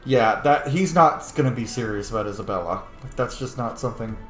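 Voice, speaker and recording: A man's voice: medium pitch, animated, brisk, fairly bright tone, faint music behind. A man giving casual let's play commentary, rambling and muttering to himself as he goes. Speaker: gaming streamer. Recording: roomy echo, faint background noise.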